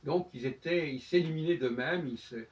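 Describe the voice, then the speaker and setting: A man giving a spoken lecture on his own, talking in halting bursts with many restarts. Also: on the high side. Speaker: host. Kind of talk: lecturing monologue. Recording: dull sound, from far off the mic.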